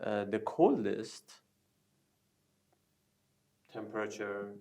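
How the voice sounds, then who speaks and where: A man with a Persian accent, a native Persian speaker co-hosting a casual chat, slow and hesitant with many fillers. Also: fairly low pitch, creaky, warm tone. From Iran. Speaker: co-host.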